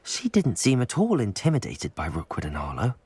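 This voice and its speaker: An actor playing a polite, guarded young student newcomer in a video game. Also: male voice, lowish voice, wildly swooping pitch, brisk, a little mellow, slightly rough, exaggerated voice. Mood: guarded, earnest.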